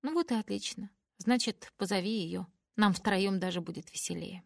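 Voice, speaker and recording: A woman voicing a kind, calm grandmother in her sixties who speaks softly and soothingly, in a dry, close recording. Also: mid-range voice, moderate pace, gravelly, no echo. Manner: soft, soothing, gentle.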